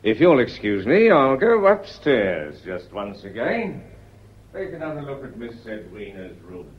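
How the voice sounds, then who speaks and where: An actor playing a middle-aged British police inspector in a radio play, folksy and deferential yet quietly probing. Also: male voice, mid-range voice, very animated, a little slow, a little hiss or hum. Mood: genial, probing. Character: plodding, polite, persistent police inspector with folksy patter. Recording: muffled old radio drama recording.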